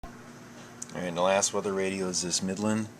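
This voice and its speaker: Deep voiced american guy doing a casual home video, kinda unsure, bright edge to his voice, some noise. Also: fairly even intonation, brisk. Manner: hesitant, unscripted, thinking aloud. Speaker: amateur video maker showing his gadgets. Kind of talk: casual home show-and-tell. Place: indoors at home and in a workshop. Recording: noisy amateur home video with handling clicks.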